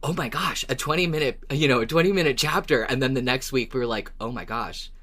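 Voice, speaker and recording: A podcast co-host with an expressive, wry way of talking through recaps and casual discussion. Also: medium pitch, fast.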